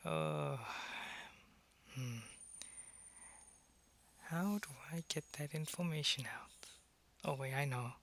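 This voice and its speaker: A man playing a suave, possessive, centuries-old vampire in an ASMR roleplay, taunting and condescending, often in a breathy half-whisper. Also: medium pitch, a bit bright, full character voice. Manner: slow, deliberate, taunting, condescending.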